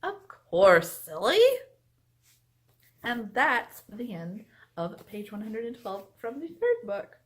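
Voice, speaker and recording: A woman book vlogger chatting casually and reading aloud in an animated, expressive way. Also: fairly high pitch, unhurried.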